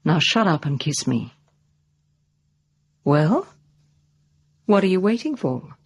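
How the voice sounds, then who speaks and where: An actress playing a bold, self-assured young adventuress in a radio drama, with a playful, provocative manner. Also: female voice, fairly low pitch, very animated, takes it slowly, slightly rough, full character voice. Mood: teasing, commanding. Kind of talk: British radio drama acting.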